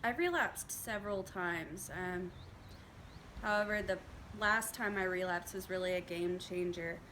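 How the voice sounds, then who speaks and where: A woman in her twenties speaking in a reflective, measured way in a documentary interview. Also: on the low side, a bit bright, slight background noise. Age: 20s.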